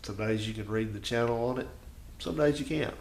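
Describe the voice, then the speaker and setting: A man's voice: mid-range voice, fairly quick, gravelly. A man giving a casual hands-on demonstration as a garage host, rambling, thinking aloud and often doubting himself. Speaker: host of a home garage repair channel. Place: home garage. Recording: echoey, noisy garage recording.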